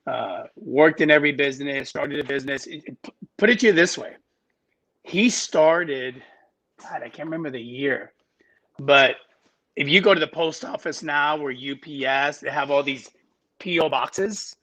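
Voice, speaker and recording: A man's voice: on the high side, moderate pace, fairly bright tone, poor audio. A middle-aged man from Miami, a podcast guest telling his life story in casual talk, often restarting his phrases. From Miami, United States.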